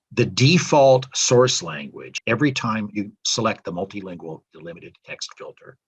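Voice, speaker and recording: An older man, a translator at his home desk, casually talking through a software tutorial with many ums and uhs. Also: medium pitch, slightly rough. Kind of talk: casual screen-recorded tutorial.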